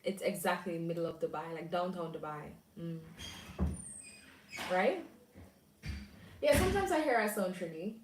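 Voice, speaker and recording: A woman's voice: on the low side, lively intonation, moderate pace, pauses often, reverberant, distant mic, background noise. A young Jamaican woman chatting casually on a livestream, reading and answering viewer comments aloud. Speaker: livestream host.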